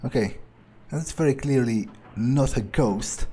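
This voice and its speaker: Mellow, nonchalant man musing aloud. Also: medium pitch, measured pace, husky. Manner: nonchalant, musing, hesitant phrasing. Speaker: gamer doing an in-character playthrough. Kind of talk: improvised in-character game commentary, thinking aloud.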